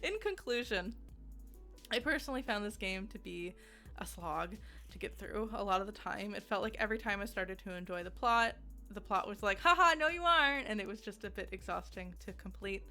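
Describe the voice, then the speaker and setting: A woman's voice: mid-range voice, lively intonation, fairly quick, pushed and bright, soft background music. A woman giving a casual, conversational video game review in a giggly, self-deprecating manner.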